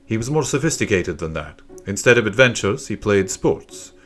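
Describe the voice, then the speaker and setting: A man's voice: fairly low pitch, very animated, moderate pace, fairly bright tone, faint music behind. A man reading a scripted children's story as an audiobook narrator.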